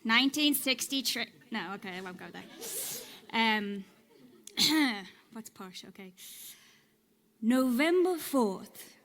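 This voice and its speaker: An actress with an Irish accent playing a nervous, game audience member, haltingly reading a script aloud on stage. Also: female voice, a little high, bright, forceful tone. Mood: uneasy storytelling. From Ireland. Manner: hesitant, halting. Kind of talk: live storytelling performance, reading from a script. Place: on stage at a live cellar event. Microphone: stage microphone.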